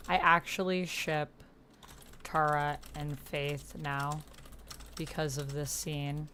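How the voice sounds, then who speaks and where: A woman's voice: low voice, slow pace. A woman chatting casually as she plays a text roleplaying game, commenting and reading typed chat aloud. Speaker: player in a text-based online roleplaying game. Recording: steady background noise with keyboard typing. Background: computer keyboard typing.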